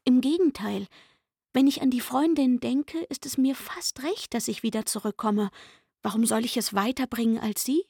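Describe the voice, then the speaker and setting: A woman's voice: on the high side, normal speed, gravelly, darkish tone, slightly rough, dry recording, clean studio sound. An actress playing a coaxing, wistful young working girl in a radio play, confiding and eager as she speaks. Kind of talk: literary radio play acting.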